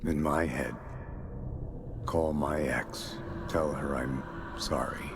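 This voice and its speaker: An actor playing a weary, fatalistic hardboiled detective in a video game, speaking in very deep, clipped noir fragments. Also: male voice, steady tone, slow pace, vocal fry, exaggerated voice. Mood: bleak resignation. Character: doomed hardboiled male detective, bleak and weary. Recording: loud rumbling ambient noise.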